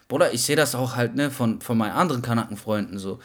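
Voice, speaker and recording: A man's voice: mid-range voice, quick pace. Slangy young man chatting casually. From Germany. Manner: slangy, calls the other man brother, many fillers and restarts. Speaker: podcast co-host. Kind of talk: casual podcast chat.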